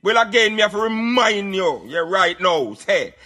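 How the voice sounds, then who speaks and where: A man's voice: sits high, expressive, moderate pace, pushed and bright, soft background music. A man playing an opinionated Jamaican radio commentator, lecturing emphatically in patois and repeating words for stress. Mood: indignant. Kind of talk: satirical social commentary in patois.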